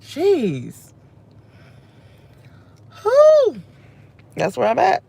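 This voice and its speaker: A woman's voice: high-pitched, wildly swooping pitch, extremely slow, gravelly, mellow. A woman in her thirties, with a scratchy voice, venting and restlessly interrupting herself into a phone camera while she sits in her car. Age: 30s. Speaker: vlogger. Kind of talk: unscripted personal vlog rant. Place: inside her parked car. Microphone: phone camera held close.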